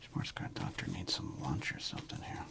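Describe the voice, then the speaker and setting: A man hosting an ASMR video, speaking in a breathy whisper with a gravelly creak, rambling casually as if musing to himself. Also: brisk. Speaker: host of a sports card ASMR channel. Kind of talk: ASMR, unscripted whispered commentary on a card collection.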